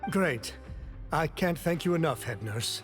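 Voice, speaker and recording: A man's voice: fairly high pitch, animated, measured pace, soft background music. A man voicing an anxious, apologetic underling in a video game, hesitant and deferential. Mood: apologetic, nervous. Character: anxious, apologetic young male underling, eager to please.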